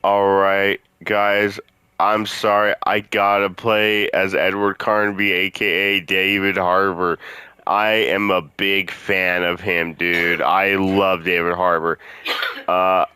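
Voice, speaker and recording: A man's voice: low-pitched, little pitch movement, takes it slowly, pushed and bright, clear, resonant tone, dry recording. A man hosting a gaming video with casual, chummy, slangy commentary addressed to his viewers. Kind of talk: casual let's play commentary.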